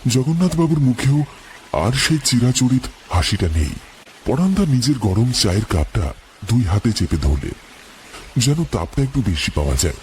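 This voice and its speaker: A man's voice: medium pitch, big pitch swings, moderate pace, darkish tone, slight background noise. A man giving a dramatic scripted narration of a horror story as a storyteller. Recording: music under the voice. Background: background music.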